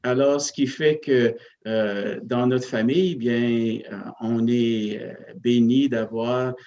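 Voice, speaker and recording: A man, a parish priest, speaking slowly and thoughtfully as he recalls his family and faith. He hesitates often and restarts his sentences, with a voice at an ordinary pitch. The sound is a little dull, like an older TV broadcast. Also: steady tone, darkish tone. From Northern Ontario, Canada. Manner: hesitant, many fillers and restarts, reflective. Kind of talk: interview, personal storytelling.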